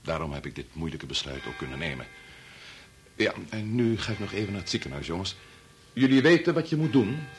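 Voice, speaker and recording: An actor in a Dutch radio play, playing a grave, resolute older doctor who speaks in a measured, formal, authoritative way. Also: male voice, low-pitched, very animated, a little hiss or hum. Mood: moved, weary. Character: grave, resolute senior doctor and father leading an experiment.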